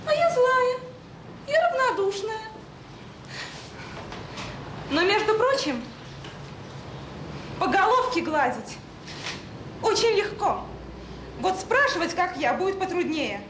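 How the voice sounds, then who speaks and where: Scornful childcare worker, extremely high voice, sarcastic, argumentative, audio play, echoing room. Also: female voice, sing-song, unhurried, pauses often, heavily acted. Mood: indignant, sarcastic. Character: defiant, callous woman childcare worker, indignant and scornful. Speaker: actor in an audio drama. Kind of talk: Soviet radio drama acting. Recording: roomy radio-play recording with faint noise.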